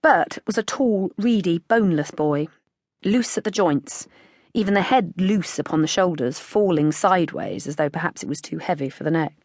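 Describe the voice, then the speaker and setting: A British woman reads a short story in measured literary narration. Her voice sits a little low for a woman, and the recording is dry and close. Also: a little mellow, no echo. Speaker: audiobook narrator.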